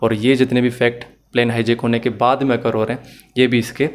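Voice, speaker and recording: Even-toned man teaching. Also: medium pitch, a little fast. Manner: methodical, repeats and rephrases points. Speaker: law teacher. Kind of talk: explanatory online lecture mixing in English phrases.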